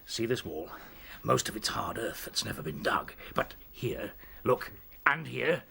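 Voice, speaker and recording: A man's voice: fairly low pitch, big pitch swings, measured pace, gravelly, sharp tone, husky, full character voice. A man playing a ruthless, domineering rabbit general in a radio drama, brusque and commanding.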